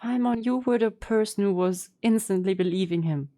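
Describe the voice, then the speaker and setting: A woman's voice: medium pitch, normal speed, warm tone. A woman streaming a game with casual, playful, teasing live reaction commentary. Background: game audio and music.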